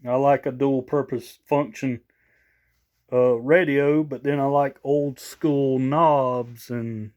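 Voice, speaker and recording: A man's voice: mid-range voice, steady tone, slow, a little mellow. A slightly tired man, rambling casually in a folksy, unscripted show-and-tell for a vlog. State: a little tired after work. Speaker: vlogger showing off a new CB radio.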